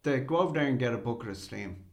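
A man's voice: mid-range voice, expressive, quick pace, reverberant. An Irish man co-hosting a podcast who talks bluntly and swears a lot. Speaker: podcast co-host. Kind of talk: casual chat.

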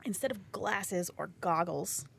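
A woman's voice: mid-range voice, a little slow, vocal fry, fairly bright tone, slight background noise. A woman co-hosting a podcast, answering with dry sarcasm and casual swearing. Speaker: podcast co-host. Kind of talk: casual banter.

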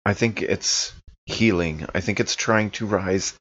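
A man's voice: deep voice, measured pace, fairly bright tone, breathy edge, lo-fi sound. A man improvising casually in character as a player in a tabletop horror game.

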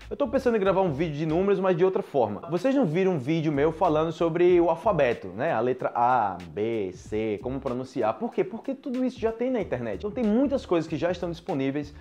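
A man's voice: on the high side, lively intonation, fast, few pauses, mellow. A Brazilian man with a Brazilian Portuguese accent, teaching Portuguese to foreigners in a chatty, repetitive way, talking straight to his viewers. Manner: chatty, repeats himself, addresses viewers directly. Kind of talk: casual teaching monologue.

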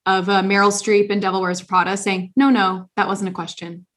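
A woman hosting a casual podcast chat, talking in an animated, rambling way with many restarts. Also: medium pitch, moderate pace. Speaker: podcast host.

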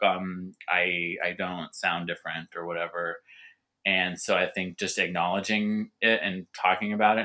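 Guest, male, stutters; deep and bright, halting delivery. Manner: hesitant, with restarts and repeated words. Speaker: winemaker guest. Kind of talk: casual podcast interview.

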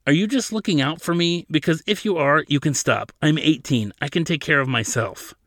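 A man's voice: medium pitch, moderate pace, no echo, clean studio sound, lightly acted. A man voicing a sulky, stubborn teenage prince. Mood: belligerent. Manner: petulant, whining protests. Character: stubborn, sulky eighteen-year-old exiled prince. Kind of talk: audiobook character dialogue.

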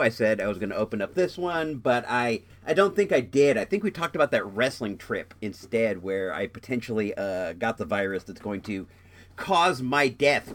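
A man's voice: mid-range voice, fairly bright tone. A young man hosting a podcast who is sick and stuffed up, chatting casually and jokingly while he unboxes a toy. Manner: rambling, jokey, self-interrupting. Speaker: podcast host.

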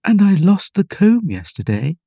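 A woman's voice: mid-range voice, big pitch swings, measured pace, dark tone, husky, no room sound, clean studio sound, full character voice. A woman voicing a vague, contrary storybook queen in a lofty, matter-of-fact manner. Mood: vague, sighing.

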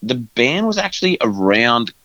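A man's voice: mid-range voice, big pitch swings, normal speed, fairly bright tone, no echo, dull audio. A young man talking casually in an interview, loose and rambling with lots of fillers. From Australia. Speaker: guest band vocalist.

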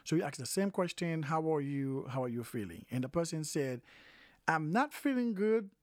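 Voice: mid-range voice, lively intonation, normal speed, darkish tone, no echo, clean studio sound. A language teacher giving an informal lesson, repeating each phrase several times, with some hesitation and self-correction.

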